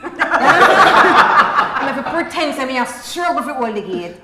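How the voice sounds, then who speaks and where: A woman's voice: sits high, sing-song, slow. A woman with a Jamaican accent, a stage performer chatting casually as a podcast guest. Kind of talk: casual group chat. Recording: echoey room, faint background noise.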